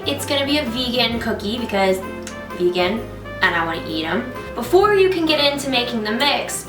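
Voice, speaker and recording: Stuffed-up, bubbly young woman vlogger. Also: a little high. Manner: bubbly and jokey. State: stuffed-up, nasal from a cold. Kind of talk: casual kitchen tutorial. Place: home kitchen, loud stove. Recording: roomy, mic at a distance, music behind.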